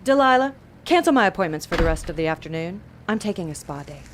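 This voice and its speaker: A woman's voice: fairly low pitch, animated, fast, noisy background, natural voice. A woman playing a sardonic, bossy female devil who runs an office in a comedy audio drama. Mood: exasperated, commanding. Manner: bossy, sarcastic, exasperated.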